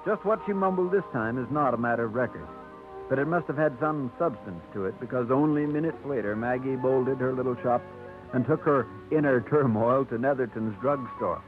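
Man narrating an old radio play, measured tone, phone-thin sound with music. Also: mid-range voice. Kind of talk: scripted narration. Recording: tinny old radio broadcast over music.

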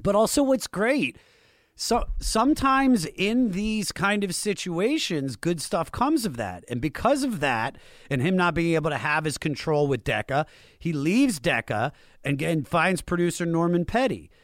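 High-voiced American man, emphatic music talk. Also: very animated, a little slow, fairly bright tone, dry recording, clean studio sound. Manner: emphatic, slangy, repeats phrases for stress. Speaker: music show host. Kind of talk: enthusiastic conversational music history talk.

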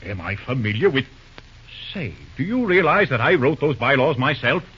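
An actor playing a pompous, scheming railroad shareholder who blusters indignantly in an old-time radio drama. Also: male voice, medium pitch, sing-song, normal speed, slightly rough. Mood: indignant, flustered. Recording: muffled, fuzzy old radio recording.

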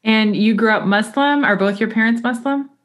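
A woman's voice: on the high side, normal speed. A woman hosting an interview podcast, speaking in both a prepared presenter style and a conversational one. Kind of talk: scripted introduction and conversational interview.

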